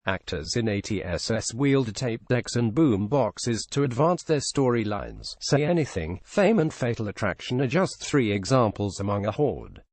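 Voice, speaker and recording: A man reading a feature article aloud as scripted narration, in a measured journalistic delivery. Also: lowish voice, sing-song, a little mellow, dry recording.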